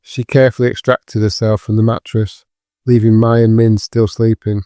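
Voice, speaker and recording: A man's voice: on the low side, level tone, moderate pace, mellow, dry recording, clean studio sound. A man reading scripted narration for an audio drama.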